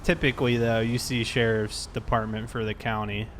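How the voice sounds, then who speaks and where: A man with a mid-pitched voice talks casually, like a streamer hosting a game session. He explains things in a conversational way. Faint vehicle noise and ambience sit behind him. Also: little pitch movement, normal speed, fairly bright tone. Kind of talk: casual chat while gaming.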